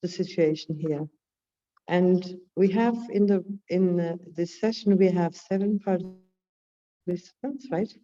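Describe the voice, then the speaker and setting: A woman, croaky from a cold, speaks in a low, mellow voice during an online group discussion. She often repeats how she starts a sentence. Her call audio sounds distant and dull. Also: slow pace. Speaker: workshop participant. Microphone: computer mic on a video call, camera off.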